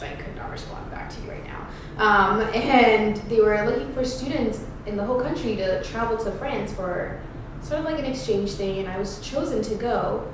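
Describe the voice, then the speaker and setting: A young woman from Ghana chatting casually and playfully, rambling with lots of fillers as she answers questions. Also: mid-range voice. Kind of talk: casual get-to-know-me chat. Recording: echoey room, distant mic, background noise.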